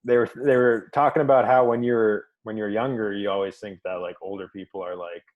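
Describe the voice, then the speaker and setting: Male podcast host, low-pitched, laid-back, hesitant, chuckles easily. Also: fairly quick, phone-quality sound. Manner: relaxed, chuckling, hesitant with fillers. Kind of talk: casual chat.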